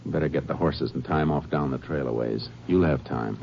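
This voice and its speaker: A laconic lawman, super deep gravelly voice, old radio drama. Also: brisk, a little hiss or hum. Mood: calm, tense. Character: steady, laconic Texas Ranger lawman narrating his case. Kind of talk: 1950s radio drama acting. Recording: dull old radio broadcast with music.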